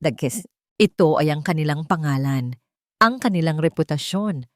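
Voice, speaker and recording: A woman with a Filipino accent voicing a vindictive, hot-tempered young mistress in an audio story, sharp and menacing. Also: low voice, lively intonation, normal speed, no room sound, studio quality. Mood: cold, menacing.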